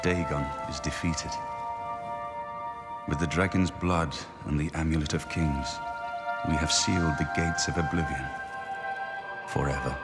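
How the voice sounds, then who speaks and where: Very deep, solemn noble emperor. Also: male voice, fairly even intonation. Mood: solemn, resolute. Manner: solemn, measured, grave. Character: noble emperor, solemn, resigned, speaking a farewell after sacrifice. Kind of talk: slow dramatic game narration. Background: orchestral music.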